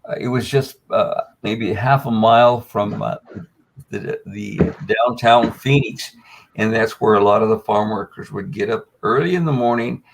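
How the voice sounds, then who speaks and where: Older man telling a halting story. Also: fairly low pitch, creaky, rough recording. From United States. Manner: halting, many fillers and restarts. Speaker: guest. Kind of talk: storytelling in a panel discussion.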